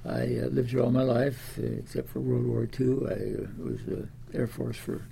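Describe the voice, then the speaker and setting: A man's voice: medium pitch, level tone, normal speed, gravelly, mellow, breathy edge. A man of ninety from Iowa, telling his life story in an oral history interview, rambling with many fillers and restarts. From Des Moines, Iowa. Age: 90s.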